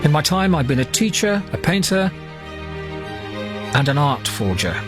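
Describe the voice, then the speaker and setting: A man narrating a scripted voice-over in a measured, deliberate way. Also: a little high, big pitch swings, over music. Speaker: narrator and art teacher.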